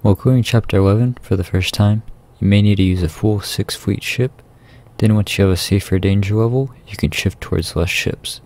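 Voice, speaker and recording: Low, gravelly man narrating a game guide. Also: brisk, darkish tone. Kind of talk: scripted guide narration over gameplay.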